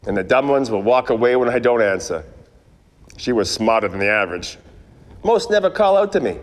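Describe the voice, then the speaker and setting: An actor playing a wry, world-weary 1940s private detective in a parody of old radio noir. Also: male voice, medium pitch, expressive, brisk, lightly acted. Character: wisecracking hard-boiled 1940s male private detective. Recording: echoey stage or hall reverb.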